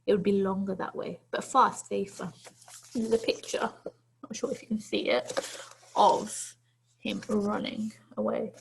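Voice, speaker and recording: A woman's voice: mid-range voice, fairly even intonation, unhurried, creaky, long pauses, slight background noise, low-quality recording. A woman teacher reading a children's story aloud to pupils, expressively and with dramatic, emphatic phrasing.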